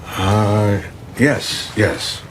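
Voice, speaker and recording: An actor playing a shady, deadpan man over fifty in screen drama, with slow, deliberate delivery on a noisy clip. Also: on the low side. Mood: sly, deliberate.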